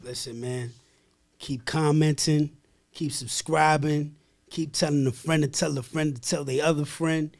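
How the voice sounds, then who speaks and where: A man's voice: a little high, steady tone, measured pace, gravelly. A man with a Dominican accent hosting a podcast, hyped and streetwise, reading a scripted intro and chatting casually. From born in the US, Dominican family. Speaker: podcast host. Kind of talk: scripted intro narration and casual interview chat.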